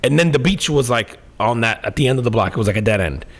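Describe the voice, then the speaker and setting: A man's voice: mid-range voice, animated, quick pace, slightly rough. A man co-hosting a podcast in casual chat, enthusiastic and slangy, swearing freely. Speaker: podcast co-host.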